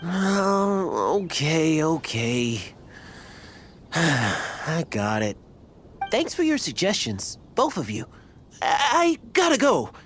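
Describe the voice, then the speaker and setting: A man's voice: a little high, huge pitch swings, takes it slowly, pauses often, fairly bright tone, slight background noise, exaggerated voice. An actor playing a self-important young male superfan in a video game, gushing and stammering. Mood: flustered, deflated. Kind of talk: video game character acting. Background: background music.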